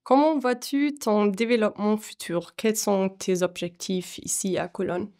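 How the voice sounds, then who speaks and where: A woman's voice: medium pitch, fairly even intonation, a little slow, darkish tone. Woman interviewer reading off her question list, medium voice. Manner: reads prepared questions, friendly laughs.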